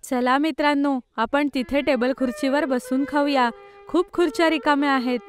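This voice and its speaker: Little cartoon schoolgirl female performer: very high, bubbly, keen, enthusiastic. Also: fairly even intonation, normal speed, faint music behind, lightly acted. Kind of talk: children's cartoon voice acting.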